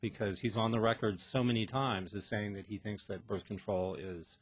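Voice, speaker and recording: A man's voice: fairly low pitch, fairly even intonation, normal speed, slightly rough. A man arguing as a guest in an unscripted political radio debate, speaking hesitantly and often repeating and restarting his phrases.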